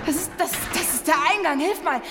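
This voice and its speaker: A daring young female backpacker in a radio drama, with a strikingly high voice. She is driven and bossy, always urging in loose slang. Loud music runs behind her. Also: fairly quick. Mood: impatient, determined. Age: young adult. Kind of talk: radio play acting.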